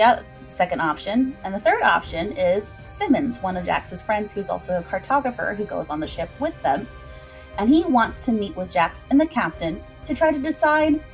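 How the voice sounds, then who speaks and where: Chatty woman streamer over background music. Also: medium pitch. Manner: chatty, explanatory, addressing viewers directly. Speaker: storyteller and livestream host. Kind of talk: informal livestream story recap.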